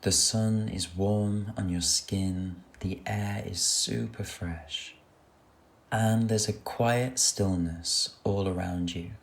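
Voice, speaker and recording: A man guides a meditation in a low voice with a creaky edge. He speaks very slowly and soothingly, giving gentle instructions. Soft music plays underneath his voice. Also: fairly even intonation.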